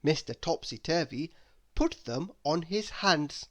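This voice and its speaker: Slow, animated male storyteller. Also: fairly high pitch. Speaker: storyteller reading a children's book aloud. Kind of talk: expressive scripted narration for children.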